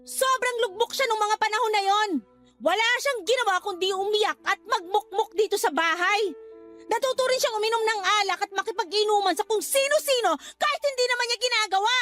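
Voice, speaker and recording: A woman's voice: very high voice, a little fast, a bit bright, soft background music. An actress playing a bitter young woman who protects her brother, accusing and emotional in a radio drama. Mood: hurt, reproachful. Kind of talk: Tagalog radio drama acting.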